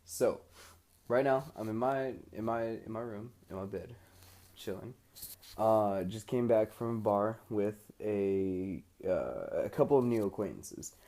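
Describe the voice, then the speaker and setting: A man's voice: lowish voice, measured pace, warm tone. A young American man recording a casual audio diary, rambling informally in slangy everyday speech. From United States. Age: young adult. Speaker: student recording an audio log abroad.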